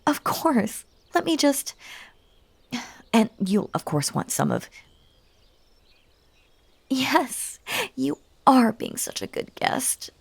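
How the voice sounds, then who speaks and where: A woman playing a clingy, unhinged magical bunny woman in a roleplay audio drama, coaxing and gushing, breathy and dropping into whispers, with stammers and stern turns. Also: high-pitched, a little mellow, no room sound, exaggerated voice.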